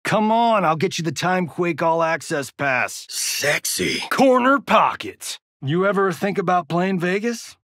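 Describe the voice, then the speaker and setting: A cocky American action-movie star from a fighting game. His voice is fairly high for a man and swings widely as he swaggers through sarcastic one-liners. He takes his time over each showy line, in a very dry, close recording. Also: big pitch swings, measured pace, hardly any pauses, fairly bright tone, no echo, full character voice. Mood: flirty, boastful.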